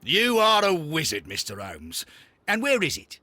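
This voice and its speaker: Skeptical, bright-voiced police inspector. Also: male voice, on the high side, wildly swooping pitch, measured pace, dry recording, heavily acted. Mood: incredulous. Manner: incredulous, blunt. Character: skeptical, baffled male Victorian police inspector. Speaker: video game supporting character. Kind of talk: scripted game dialogue.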